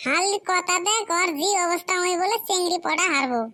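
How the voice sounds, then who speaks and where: A man voicing a teasing, practical young village lad in a comic cartoon, with a Lower Assam rural accent and a pitched-up effect. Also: a little fast, sharp tone, full character voice. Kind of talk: comic meme cartoon dialogue.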